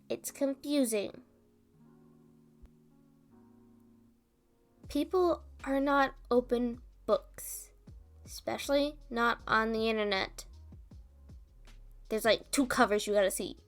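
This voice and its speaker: Woman acting as rambling female roommate, ASMR roleplay, higher-pitched, slow pace, halting, conversational, self-deprecating, defensive. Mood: earnest venting. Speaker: audio roleplay performer and script writer. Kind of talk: ASMR roleplay, one side of a casual conversation.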